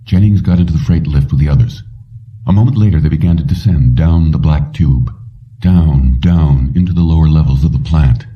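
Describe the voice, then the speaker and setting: A man with a very low voice narrates an audiobook in measured, dramatic phrases. The recording is slightly muffled and sounds a little away from the mic. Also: recorded from a distance. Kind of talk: scripted narration of a suspense story.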